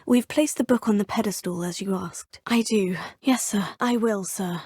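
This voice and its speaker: A woman's voice: mid-range voice, normal speed, mellow, breathy edge, no echo, clean studio sound, close to an everyday voice. An actress voicing a polite young female student witch in her fifth year, speaking formally to her teachers in game voice lines. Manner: polite and formal, addressing teachers as sir and professor. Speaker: player character. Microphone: close studio mic.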